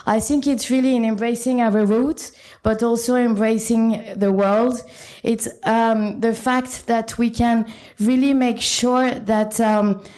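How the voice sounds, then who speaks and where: A woman with a French accent gives a live talk at a conference in a meeting room, speaking haltingly with many pauses and fillers. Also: fairly high pitch, warm tone. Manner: halting, many ums and uhs.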